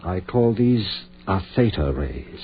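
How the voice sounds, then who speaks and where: An actor playing an obsessed, commanding surgeon in an old radio horror drama, giving clipped, deliberate orders with cold intensity. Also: male voice, low-pitched, big pitch swings, full character voice. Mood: brisk, controlled. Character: obsessed male surgeon, cold, commanding, reviving his dead beloved. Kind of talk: old-time American radio drama acting. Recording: muffled, noisy old radio recording with hum.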